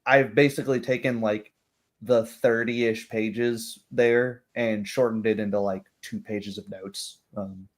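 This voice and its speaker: Guy game master chatting after the game, kinda rambling, thinks out loud as he goes. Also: on the low side, steady tone, measured pace. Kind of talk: casual post-game discussion.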